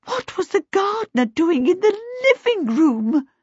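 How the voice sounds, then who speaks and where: A woman's voice: very high voice, very animated, measured pace, a little mellow, no echo, lo-fi sound, voice pushed up, exaggerated voice. A woman voicing a fussing, easily frightened mother in a storybook, with a gasping, quavering, wailing delivery. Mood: anxious.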